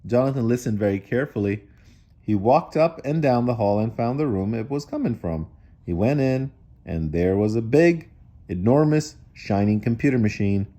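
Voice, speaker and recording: A man reading a children's storybook aloud in an animated style, breaking off for wry, casual asides. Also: mid-range voice, moderate pace. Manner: wry, digressive commentary. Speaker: storybook reader.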